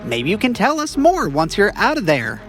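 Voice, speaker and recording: A woman's voice: mid-range voice, wildly swooping pitch, normal speed, soft background music. A woman playing an impatient, practical young friend in an audio drama adventure.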